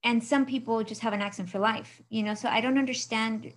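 A woman's voice: a little high, fairly even intonation, fairly quick. A woman from Mexico, a guest in a casual interview chat, who talks freely, laughs often and lets sentences trail off.

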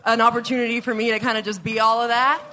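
A woman's voice: a little high, level tone, quick pace, sharp tone, a little hiss or hum. A woman answering on a panel, speaking earnestly and intensely about playing a role. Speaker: actor on a panel.

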